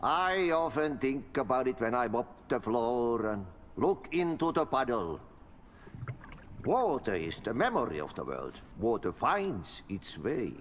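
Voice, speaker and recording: A serene, riddling janitor drawls his proverbs slowly while his pitch swoops wide from deep lows to lifted highs. His voice hangs in a long hall reverb over a faint hiss, like a game cutscene set somewhere vast. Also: big pitch swings. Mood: serene cryptic. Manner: speaks in slow riddles and proverbs. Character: cryptic mystical male janitor, riddling, serene.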